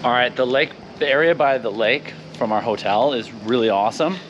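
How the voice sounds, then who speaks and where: A man's voice: medium pitch, brisk, lo-fi sound, noisy background. A man chatting upbeat and directly to viewers as a travel vlogger, walking outdoors through a town and up a mountain trail. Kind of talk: casual travel vlog narration.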